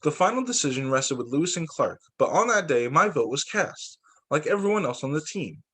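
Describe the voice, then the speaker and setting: A man, a teacher, reading a picture book aloud to students in an expressive, deliberate storytelling way. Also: a little high, breathy edge.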